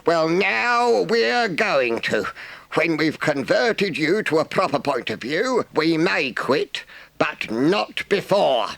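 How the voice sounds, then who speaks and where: A man voicing a solemn, stern but kindly elderly badger who makes slow, pompous speeches in a storybook reading. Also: a little high, big pitch swings, vocal fry, fairly bright tone, dry recording, pitched above the performer's own voice, heavily acted. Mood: firm.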